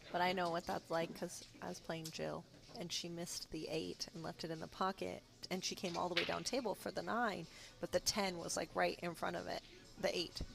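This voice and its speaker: A woman's voice: on the low side, little pitch movement, moderate pace. A woman co-commentating on a pool match on a live stream, chatting casually about her own games, talking into a headset mic. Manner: chatty, gravelly.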